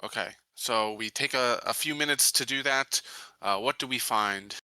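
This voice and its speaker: A man with a very bright, sharp voice at average pitch talks casually as a player in a tabletop game. The sound is dry and close. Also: moderate pace, clear, resonant tone, no room sound.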